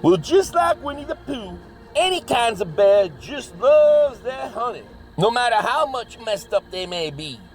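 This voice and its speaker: An actor playing an excitable, irascible backwoods monster hunter with a Southern US accent, folksy and bossy, in a comic audio drama. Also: medium pitch, measured pace, music in the background. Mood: folksy relief.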